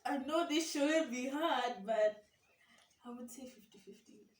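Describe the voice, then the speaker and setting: A young woman chatting casually with her sister, lively and animated as she tells stories. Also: high-pitched, measured pace. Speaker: vlogger's sister. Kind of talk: casual sibling tag chat. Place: small bare room indoors. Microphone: camera across the room. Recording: echoey room, distant mic, faint hiss.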